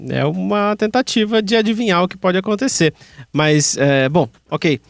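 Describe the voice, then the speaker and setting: A man's voice: sits high, moderate pace, creaky, dry recording. A Brazilian man talking casually as a participant on a pop-culture podcast.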